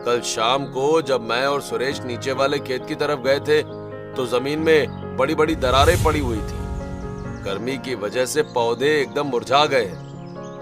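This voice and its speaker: A man's voice: mid-range voice, pitched below the performer's own voice, lightly acted. A man playing an earnest, worried farmer son who speaks respectfully in a cartoon story.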